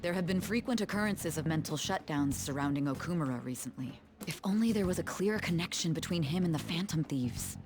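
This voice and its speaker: A woman doing a cold, low-voiced prosecutor. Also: expressive, fairly quick, hardly any pauses. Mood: stern. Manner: cold, clipped, determined. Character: stern ambitious adult female prosecutor. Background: game music.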